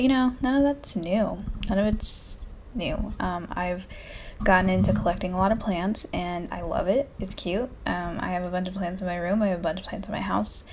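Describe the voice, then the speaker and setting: A woman in her twenties hosting a solo podcast, talking low and hushed in a candid, profane casual chat from a room in a small house. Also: slight background noise. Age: 20s. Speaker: podcast host. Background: faint classical music.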